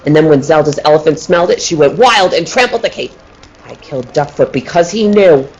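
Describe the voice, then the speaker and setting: A woman playing a scheming, nervous, murderous circus tiger tamer in a radio play, with a halting way of talking. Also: low voice, lively intonation, a little mellow, husky. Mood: cold, menacing. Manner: halting, stammering, repeating words. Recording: muffled, lo-fi audio with noise.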